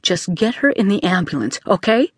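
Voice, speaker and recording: A woman's voice: mid-range voice, sing-song, moderate pace, breathy edge, dry recording, studio quality. A woman voicing a worried, protective sister in an audiobook, speaking in an urgent, imperious, clipped way. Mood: firm, commanding.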